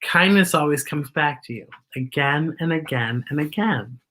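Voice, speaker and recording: Expressive woman reading aloud to children. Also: low voice, moderate pace, background noise. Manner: expressive, explaining and questioning as if to young children. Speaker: children's storytime host. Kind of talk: picture book read-aloud with chatty asides.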